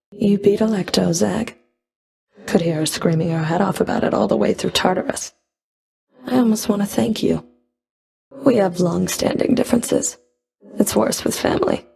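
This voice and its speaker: A woman's voice: on the low side, gravelly, darkish tone. A woman playing a stern, scornful Fury of the underworld in a video game, speaking in a cool, clipped, disdainful manner. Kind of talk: video game combat and dialogue voice lines.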